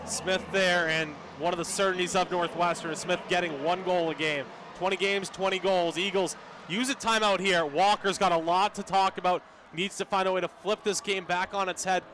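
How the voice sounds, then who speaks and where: A man's voice: high-pitched, fairly even intonation, moderate pace, noisy background. A man giving live sports radio analysis as color commentator, in short clipped phrases.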